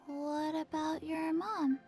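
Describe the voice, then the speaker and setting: A little zombie girl in a video game. Her childlike voice is high, blank and deadpan, drawn out extremely slowly over gentle music. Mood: blank, calm. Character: small, deadpan, slow-spoken undead girl. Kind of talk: English video game voice acting. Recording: soft music behind the voice.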